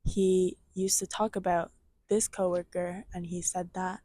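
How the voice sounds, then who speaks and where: A young woman with a slight Irish accent, co-hosting a podcast and telling a gossip story in a casual, animated way, swearing and acting out quotes. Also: fairly low pitch, unhurried, no echo. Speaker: podcast co-host.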